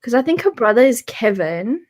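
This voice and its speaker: A woman's voice: on the high side, sing-song, moderate pace, a little mellow. A woman co-hosting an informal book discussion, speaking in loose, hesitant phrases that often trail off. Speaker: book podcast co-host. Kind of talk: casual book discussion chat.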